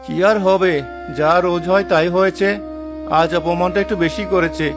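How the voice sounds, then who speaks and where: Man acting a high-voiced earnest poor fisherman. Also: steady tone, normal speed, over music. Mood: weary, dejected. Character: poor, struggling fisherman husband, earnest and determined. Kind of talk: dramatic cartoon voice acting.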